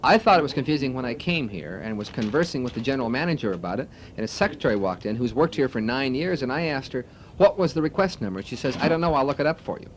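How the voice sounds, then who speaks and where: Male, mid-pitched radio manager in candid interview, detailed explainer, slightly noisy old tape with rumble. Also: animated, fast talker, few pauses. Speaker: radio station program manager being interviewed.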